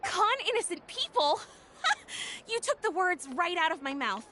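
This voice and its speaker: A woman voicing an enthusiastic young female tech inventor in a video game, with an upbeat pitchman's patter. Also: very animated, measured pace, bright, forceful tone, slight background noise, heavily acted. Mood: amused. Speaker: game character.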